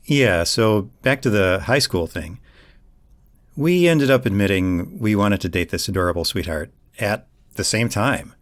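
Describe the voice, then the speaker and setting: A man acting as a gentle, reassuring young boyfriend in an intimate audio roleplay, speaking in a soothing, affectionate way. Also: fairly low pitch, animated, measured pace. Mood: casual, happy.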